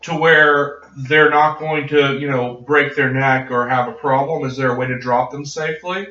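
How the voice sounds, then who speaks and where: A man's voice: mid-range voice, steady tone, normal speed, bright, forceful tone. A man chatting casually as a regular on a group show. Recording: roomy, distant, slightly muffled mic.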